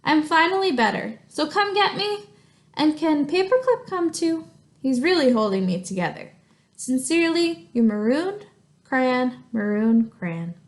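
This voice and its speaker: Lady doing a banged-up grumbly crayon from a picture book, high voice, kinda dramatic. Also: sing-song, a little slow. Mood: aggrieved, pleading. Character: wounded, grumbling maroon crayon writing home.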